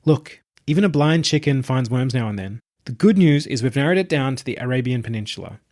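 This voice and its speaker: A man's voice: medium pitch, expressive, fairly quick, a little mellow, natural voice. A man voicing a sardonic, reluctant young woman at university, dry and blunt, in an audiobook. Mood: dry, chiding. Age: young adult. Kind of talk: audiobook character voice.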